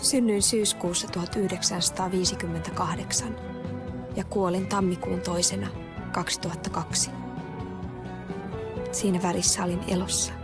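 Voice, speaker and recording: A woman's voice: fairly low pitch, steady tone, a little fast, long pauses, close to an everyday voice. An actress playing a woman who narrates her own life and death in a scripted audio drama monologue. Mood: matter-of-fact. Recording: played clip under loud music.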